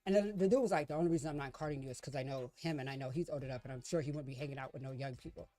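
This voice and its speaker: A woman in her late thirties, co-hosting a casual chat and telling stories in a quick, playful way. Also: extremely low pitch, no echo. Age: 30s. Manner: animated storyteller, quick and playful. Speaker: podcast co-host.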